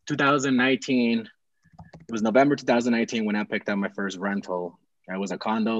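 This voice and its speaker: A man's voice: on the low side, dry recording. A young man from Peru chatting casually on a video call from a small room at home, telling a rambling story full of fillers and restarts. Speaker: real estate investor guest. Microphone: video call with earbuds. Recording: dull, dry video call audio.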